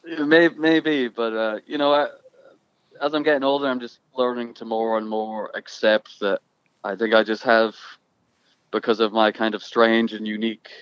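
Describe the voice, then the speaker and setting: A fairly low-voiced young man with a mixed Irish and Scottish accent, speaking in halting, reflective bursts with frequent restarts. The sound is dry and a little dull, like a lo-fi recording. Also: fairly even intonation, clean tone, no echo. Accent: mixed Irish and Scottish with American. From Ireland. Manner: halting, restarts often, thoughtful. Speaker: guest musician. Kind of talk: casual conversation.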